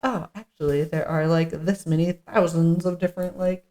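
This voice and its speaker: A woman's voice: on the low side, fairly even intonation, normal speed, warm tone. A chatty, giggly woman co-hosting a podcast, moving between reading a script and casual banter. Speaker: podcast co-host. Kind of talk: casual table read with banter.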